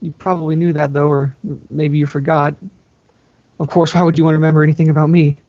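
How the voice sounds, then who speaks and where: A man's voice: a little high, flat delivery, fast talker, warm tone, no echo, close to an everyday voice. Guy doing awkward lonely princess in a fanfic reading, rambly n nervous, kinda muffled. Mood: nervous, hopeful. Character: awkward, lonely eighteen-year-old princess pleading with her sister. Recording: dull lo-fi microphone, dry.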